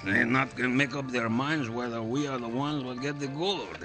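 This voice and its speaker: An actor playing a jovial, simple-hearted Mexican sidekick in an old radio western, chuckling and speaking broken English with a Mexican accent. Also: male voice, mid-range voice, steady tone, moderate pace, natural voice. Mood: amused, hushed. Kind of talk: 1950s radio western acting. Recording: old radio recording with music behind.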